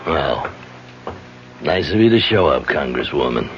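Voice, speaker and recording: A man's voice: extremely low pitch, very animated, a little slow, heavily acted. An actor playing a sly, blunt jailed hitman with slangy, taunting speech in a radio drama. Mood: mocking. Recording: muffled, noisy vintage radio recording.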